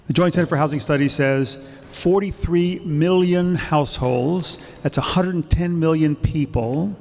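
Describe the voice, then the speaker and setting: An older man with a gravelly, slightly high voice lectures with emphasis to a public audience. He holds a handheld microphone, and a long reverb from the hall trails his words. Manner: explanatory, emphatic, loud voice. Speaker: housing expert giving a talk.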